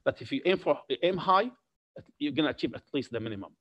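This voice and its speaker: Dry-sounding middle-aged doctor with an Arabic accent. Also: male voice, fairly high pitch, expressive, no echo, muffled recording. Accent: Syrian Arabic with a slight French tinge. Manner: deliberate, frequent uh fillers and repeated points. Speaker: physician giving a career talk. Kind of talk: slide lecture to medical students.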